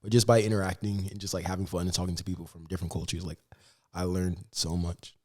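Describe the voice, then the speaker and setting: A young American man co-hosting a podcast, talking in a relaxed, chatty way with many fillers. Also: sits low, fast. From United States. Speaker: podcast co-host. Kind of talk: casual podcast chat.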